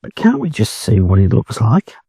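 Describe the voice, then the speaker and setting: A man's voice: fairly low pitch, huge pitch swings, normal speed, gravelly, mellow, slightly rough, no echo, clean studio sound, exaggerated voice. A man with an Australian accent voicing naive little ducklings in a storybook, plaintive and eager. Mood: pleading.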